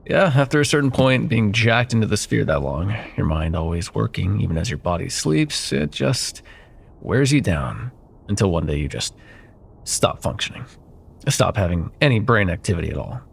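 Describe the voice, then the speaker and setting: Guy doing evil rich ceo ex boyfriend for asmr roleplay, real low husky voice, smug possessive drawl. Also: sing-song, exaggerated voice. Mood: coolly menacing. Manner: silky condescending drawl, pet names, pointed pauses. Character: smug, wealthy corporate CEO, menacing possessive jilted ex-lover. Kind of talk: ASMR roleplay, intimate dramatic monologue.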